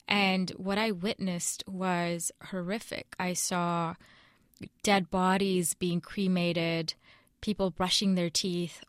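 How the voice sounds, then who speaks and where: A young woman correspondent explaining a reported story in an earnest, measured way during a news interview. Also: fairly low pitch, fairly bright tone, no room sound, clean studio sound.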